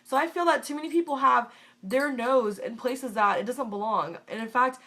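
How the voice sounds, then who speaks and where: A woman's voice: fairly high pitch, normal speed, sharp tone. Congested young woman, blunt vlogger. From United States. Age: 20s. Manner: blunt and opinionated, swears freely. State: congested from a cold. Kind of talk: casual Q&A vlog.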